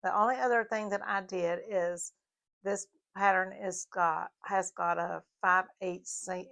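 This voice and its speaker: A slightly hoarse woman with a Southern US mountain accent, explaining her sewing project in a casual, halting tutorial with fillers. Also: mid-range voice, fairly even intonation, fairly bright tone. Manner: halting, with frequent fillers and restarts. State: a little hoarse. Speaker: sewing vlogger.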